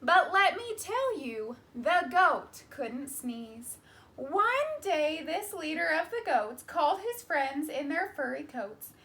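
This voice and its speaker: A woman teacher reading a children's storybook aloud in an expressive, emphatic way. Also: high voice, slow pace.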